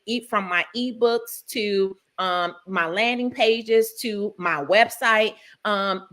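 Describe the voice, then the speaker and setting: A woman's voice: medium pitch, a bit bright. A woman hosting a live stream interview, speaking earnestly with frequent fillers. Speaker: host.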